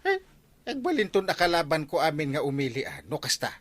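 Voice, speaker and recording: Wildly swooping, sly village captain. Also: male voice, on the high side, huge pitch swings, a little fast, a bit bright, heavily acted. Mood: defensive. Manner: hemming, stammering interjections. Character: foxy, gambling-happy village captain, middle-aged man. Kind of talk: Ilocano radio comedy drama acting. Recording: music behind the voice.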